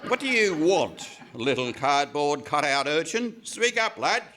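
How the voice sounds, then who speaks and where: Man playing a bright, blustering police inspector. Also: fairly high pitch, sing-song, unhurried, a little hiss or hum, heavily acted. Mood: blustering, triumphant. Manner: theatrical, blustering. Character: pompous, excitable male police inspector. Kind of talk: parody of 1950s radio comedy acting. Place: live on stage in a hall.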